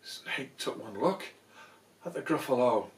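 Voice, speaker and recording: Slow, expressive older man reading a story. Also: medium pitch. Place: small room indoors full of soft toys. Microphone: camera a short distance away. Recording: roomy, distant microphone.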